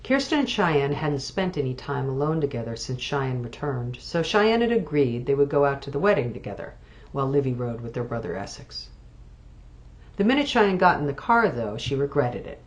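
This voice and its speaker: A woman author reading literary fiction aloud, measured and expressive. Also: rumbling low, poor audio. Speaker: author reading from own novel.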